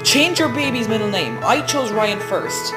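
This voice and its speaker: Furious entitled store manager, read out by a narrator. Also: female voice, a little high, lively intonation, measured pace, loud music behind the voice, voice pushed up. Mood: demanding. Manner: shouting, demanding.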